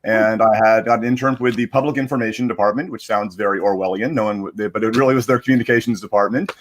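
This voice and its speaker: A man's voice: fairly low pitch, steady tone, fast. A man in his forties from Pennsylvania with an American accent, talking casually as an interview guest. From Pennsylvania, United States. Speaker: guest, social media strategist.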